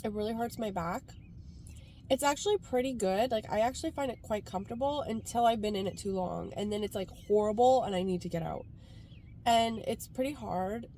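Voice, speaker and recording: A woman's voice: medium pitch, a little fast, dark tone. Congested woman chatting casually, noisy background. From Canada. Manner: informal, rambling, frequent "like". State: congested from a cold. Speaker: vlogger. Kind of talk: casual chatty car vlog. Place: sitting inside a car.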